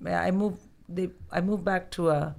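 A woman's voice: on the low side, steady tone, measured pace, vocal fry, darkish tone. A woman from India, a podcast guest, telling candid stories in casual chat with frequent restarts.

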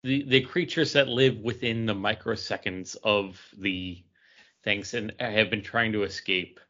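A man's voice: on the low side, steady tone, measured pace, pushed and bright. A man co-hosting a podcast, chatting casually about comic books. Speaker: podcast host. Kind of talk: casual comic book review chat. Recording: dull, lo-fi sound.

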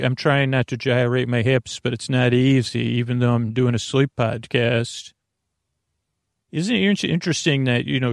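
Male bedtime podcast host: creaky, fry-laden voice, rambling and halting delivery full of digressions, very dry sound. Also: mid-range voice, no room sound. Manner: meandering, hesitant, repetitive, digressive.

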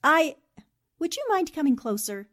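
A woman's voice: high voice, very animated, normal speed, fairly bright tone. A woman playing a determined, eager newspaperwoman in the style of 1940s radio drama. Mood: bright, polite. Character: determined, eager newspaperwoman investigating a haunted house.

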